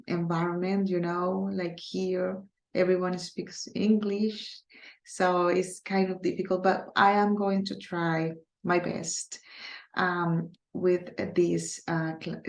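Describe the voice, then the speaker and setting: A woman's voice: fairly low pitch, fairly even intonation, echoey room. A woman from Colombia, a native Spanish speaker with a Colombian accent, teaching a recorded Spanish class in English with many hesitations. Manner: hesitant, many fillers, speaks slowly on purpose. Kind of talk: recorded online class, casual.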